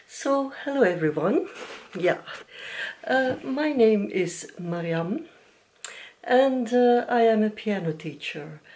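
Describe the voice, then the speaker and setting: Soft-spoken woman, slow and hesitant. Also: mid-range voice. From Belgium. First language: Dutch. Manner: gentle, hesitant, many fillers and small restarts, a little nervous. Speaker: knitting podcast host. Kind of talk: casual video podcast chat. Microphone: camera mic.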